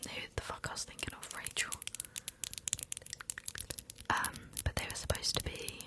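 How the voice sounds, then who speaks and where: Softly whispering woman. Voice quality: soft unvoiced whisper. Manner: chatty, repeats words in loops. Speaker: ASMR livestreamer. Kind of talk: whispered ASMR livestream chat.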